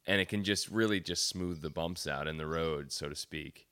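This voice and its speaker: A man hosting an interview, speaking in a halting, friendly way with many restarts. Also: extremely low pitch, a bit bright, smooth. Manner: halting, restarts sentences, laughs easily. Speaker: interviewer, host. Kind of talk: casual interview chat.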